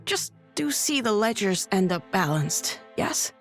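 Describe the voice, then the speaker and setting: A woman acting a gentle, refined young monarch in a video game, with formal, regal diction. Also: mid-range voice, sing-song, unhurried, soft background music. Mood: earnest. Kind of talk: dramatic game cutscene acting.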